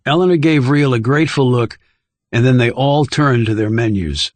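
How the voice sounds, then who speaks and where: A man's voice: medium pitch, normal speed, no room sound. A man reading scripted thriller narration as an audiobook narrator.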